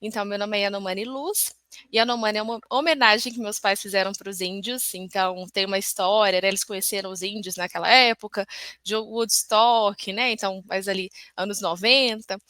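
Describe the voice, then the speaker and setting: A woman's voice: medium pitch, fairly even intonation, fairly quick, sharp tone, clear, resonant tone, dry recording. A woman from Minas Gerais with a Brazilian Portuguese accent, a guest chatting in a friendly way and often saying "né" and "então", on a remote call from home. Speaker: guest entrepreneur. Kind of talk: casual interview chat.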